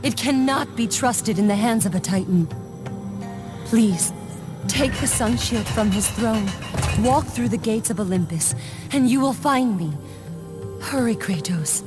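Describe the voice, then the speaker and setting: An actress voicing an earnest, weakened goddess of the dawn in a video game, solemn and pleading. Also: female voice, on the high side, expressive, measured pace. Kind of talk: dramatic video game acting. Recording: music behind the voice.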